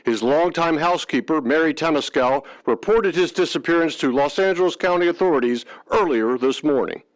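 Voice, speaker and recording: A man's voice: a little high, fairly quick, lightly acted, low-quality recording. A man playing a formal newsreader delivering an urgent special bulletin. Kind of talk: broadcast news bulletin.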